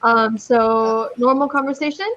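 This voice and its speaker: A woman's voice: on the high side, fairly even intonation, a little slow, a bit bright, lo-fi sound, a little hiss or hum. Upbeat young Californian woman tutor. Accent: Californian American. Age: young adult. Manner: upbeat, chatty, uses casual slang. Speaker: online English tutor. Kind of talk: casual video-call lesson. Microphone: video call.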